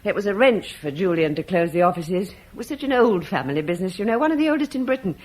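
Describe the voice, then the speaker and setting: Woman doing a gracious roman wife, breathy voice, chatty, pitch all over the place. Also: animated, fast talker, a little mellow, natural voice. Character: warm, chatty middle-aged Roman-British wife. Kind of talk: 1960s BBC radio drama acting.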